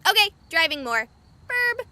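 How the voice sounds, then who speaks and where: Young female livestreamer, visual novel character, high bright voice, animated, playful, over-enthusiastic, goofy. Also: a little slow, exaggerated voice. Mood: goofy, chatty. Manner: playful, chatty, exaggerated enthusiasm. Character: excitable, goofy young female livestreamer exploring haunted places.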